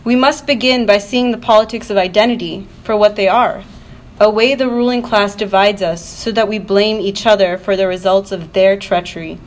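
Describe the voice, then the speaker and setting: A woman's voice: mid-range voice, steady tone, moderate pace. A woman reading a prepared political speech at a live event, with earnest, declarative and emphatic phrasing. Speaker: activist panel speaker. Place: university event, speaking to an audience. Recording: noisy live event room recording.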